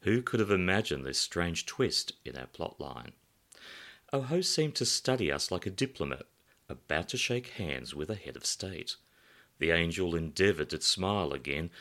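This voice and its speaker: Male author reading his novel, deep voice, highly animated first-person narration. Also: moderate pace.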